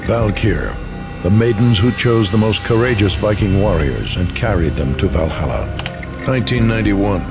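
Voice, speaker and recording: Formal military dossier guy, low detached voice, video game cutscene, music behind. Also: animated, normal speed. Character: impersonal reader of a top-secret military file. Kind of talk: formal document read-out in a game.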